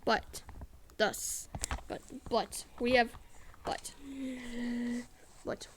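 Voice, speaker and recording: A woman speaks slowly in a fairly high voice, halting and repeating words as she improvises. There is faint noise on the recording. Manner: halting, repetitive, searching for words. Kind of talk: rambling improvised news-like narration.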